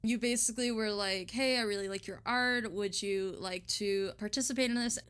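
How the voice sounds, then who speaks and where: A woman's voice: mid-range voice, fairly even intonation, moderate pace, a bit bright, smooth. Giggly, chatty woman artist in casual interview. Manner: giggly, self-deprecating, enthusiastic. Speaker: illustrator being interviewed.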